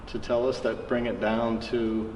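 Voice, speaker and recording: A man's voice: medium pitch, flat delivery, a little slow, a little mellow. Male interviewer, distant and echoey. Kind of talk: conversational interview questioning. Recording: echoey room from far off, faint noise.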